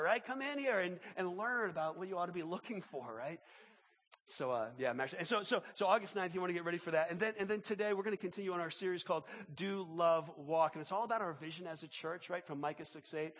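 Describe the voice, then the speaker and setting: A man preaching as pastor in a church, sick, with his voice deeper than normal, repeating and restarting words as he pleads with the congregation. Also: fairly bright tone, long reverb tail. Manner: repetitive restarts, emphatic pleading. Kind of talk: sermon with opening prayer and announcements. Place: large church auditorium.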